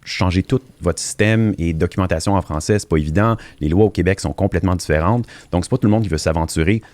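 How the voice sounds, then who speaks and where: A man's voice: sits low, fast, darkish tone, no room sound. A man, a guest on a podcast, giving earnest, explanatory answers and often stumbling over repeated words. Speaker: mortgage industry guest. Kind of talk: interview answers.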